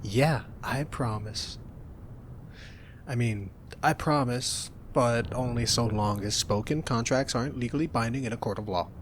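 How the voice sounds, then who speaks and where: A young man doing an ASMR roleplay as a roommate, talking softly in a teasing, reassuring way. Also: mid-range voice, a little slow, slight background noise.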